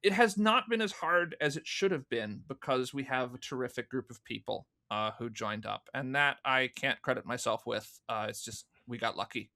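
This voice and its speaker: A man's voice: medium pitch, normal speed, few pauses, bright, forceful tone. A man speaking as an interview guest, explaining things in detail and with enthusiasm in a conversational way. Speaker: guest, fan audio drama producer.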